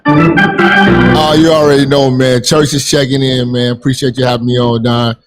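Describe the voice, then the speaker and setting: A man's voice: medium pitch, flat delivery, moderate pace, music in the background. A man joining a podcast panel as a guest, swaggering and boastful in his banter.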